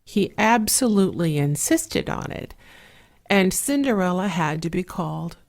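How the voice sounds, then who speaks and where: A soft-spoken woman narrating a fairy tale in a measured, relaxing way, with an 8D surround panning effect. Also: on the low side, lively intonation, vocal fry. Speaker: storyteller.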